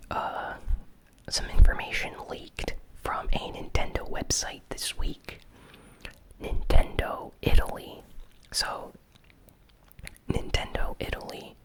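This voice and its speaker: A host giving a casual gaming news recap in a soft, unvoiced ASMR whisper, with unhurried, hesitant phrasing. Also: low-quality recording.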